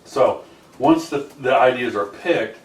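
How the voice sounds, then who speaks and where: Male meeting organizer, halting explainer, informal, off-mic in an echoing room. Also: mid-range voice. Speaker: group organizer at a planning meeting. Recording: roomy, distant mic, faint room noise.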